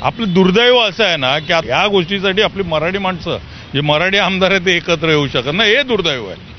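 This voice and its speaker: A man's voice: high voice, animated, fairly quick. A man with a Marathi accent speaking emphatically and repetitively as a party politician to the press. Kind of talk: press soundbite. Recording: dull location sound, faint noise.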